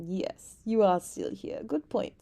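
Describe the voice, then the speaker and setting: A woman's voice: mid-range voice, takes it slowly, gravelly, mellow. A woman voicing a playful young princess who teases and scatters French words into her speech, in an ASMR roleplay. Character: teasing young princess, playful, anxious beneath.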